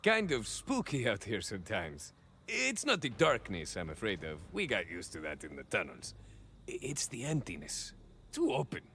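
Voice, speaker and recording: A man's voice: on the low side, huge pitch swings, moderate pace, bright, forceful tone, a little hiss or hum, heavily acted. An actor playing a cocky, rambling cyborg ex-soldier in a video game, with a Mexican-American accent and bits of Spanish mixed into his hesitant, theatrical talk. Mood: uneasy. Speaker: playable game character. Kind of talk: video game idle monologue acting.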